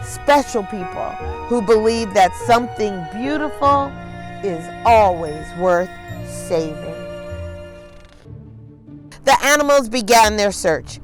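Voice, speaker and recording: A woman storyteller reads a picture book aloud to children, expressively, in deliberate phrases with dramatic pauses. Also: mid-range voice, poor audio.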